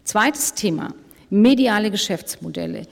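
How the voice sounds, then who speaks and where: Brief: woman panel moderator, brisk and wry, mid-pitched, reverberant hall sound. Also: expressive, vocal fry. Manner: brisk, wry, hurries speakers along. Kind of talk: moderating a live panel discussion. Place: conference stage.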